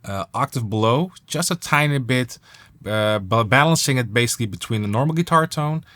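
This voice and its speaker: A man presenting a software tutorial on music production in a casual, enthusiastic and emphatic way. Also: medium pitch, lively intonation, fairly quick.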